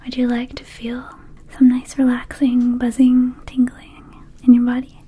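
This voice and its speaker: Lady doing a scatterbrained vampire shop girl, asmr roleplay, high mellow whisper, casual and rambly. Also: monotone. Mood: soothing, rambling. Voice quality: soft, breathy whisper. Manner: hesitant, rambling, slow and casual. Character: friendly scatterbrained young female vampire herb dispensary clerk. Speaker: ASMR performer.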